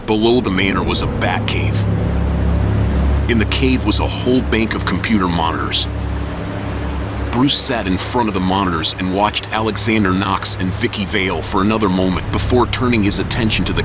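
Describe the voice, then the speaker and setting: A man narrating an audio drama in a steady storytelling manner. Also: on the low side. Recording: music bed under narration.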